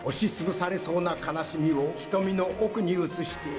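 An actor playing an amiable, doddering old judge in a comic courtroom skit, speaking ceremoniously and theatrically. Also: male voice, high-pitched, moderate pace, lightly acted. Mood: wistful, admiring. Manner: ceremonious, theatrical, absent-minded. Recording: reverberant concert hall, faint music.

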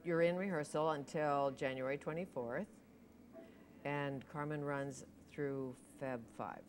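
A middle-aged woman with a very low voice who hosts a TV interview show. She is chatty and often leads with her questions. She is on a lapel mic in a TV studio, with a faint hiss underneath. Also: steady tone, unhurried, darkish tone. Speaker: TV talk show host.

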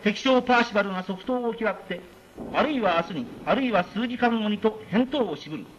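A man narrating a 1940s newsreel in a solemn, measured, declamatory delivery, on a scratchy old soundtrack. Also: high-pitched, fairly bright tone, highs cut off.

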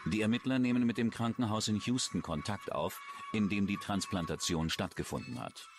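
A man's voice: on the low side, a little fast, music in the background. A man with a standard German accent reading scripted true-crime documentary narration.

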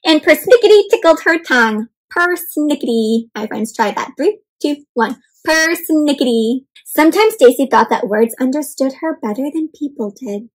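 High-voiced woman reading to kids. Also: normal speed, hardly any pauses, low-quality recording. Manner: animated, addresses kids as "my friends". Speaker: children's read-aloud host. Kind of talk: interactive picture-book read-aloud. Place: home room with bookshelf and plants.

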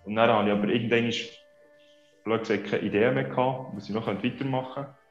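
A man's voice: mid-range voice, steady tone, a little fast. A man in his thirties with a Swiss German accent, chatting casually as an interview guest on a video call. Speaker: interview guest, social media creator. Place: small room indoors on a video call. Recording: echoey, dull video-call sound, music behind.

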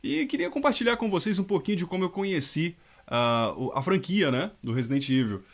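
A man, a fan dub voice actor chatting in a lively, nostalgic way to camera. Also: sits high, brisk. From Rio de Janeiro state, Brazil. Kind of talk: casual nostalgic testimonial. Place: dark room indoors.

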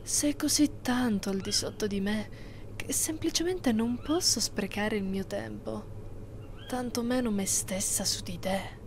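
A woman's voice: medium pitch, natural voice. Woman acting a haughty vampire mistress, noisy ASMR recording. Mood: cold, domineering. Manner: slow, imperious, condescending, often near whisper. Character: haughty, possessive immortal female vampire mistress. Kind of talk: ASMR roleplay, breathy close delivery.

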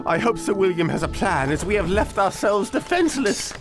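Fretful peasant, high and anxious, score under. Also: male voice, big pitch swings, a little fast, music in the background. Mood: fearful. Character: fearful male peasant. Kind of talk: video game cutscene acting.

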